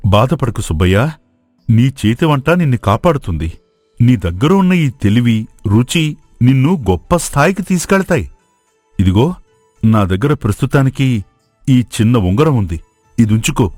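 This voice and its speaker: A man's voice: medium pitch, big pitch swings, normal speed, pauses often, a little mellow, dry recording. A man playing a frail, noble old man in a moral story, speaking earnestly and solemnly. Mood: kindly, encouraging. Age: older. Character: frail, starving old man lost in forest, grateful and noble. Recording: voice over background music.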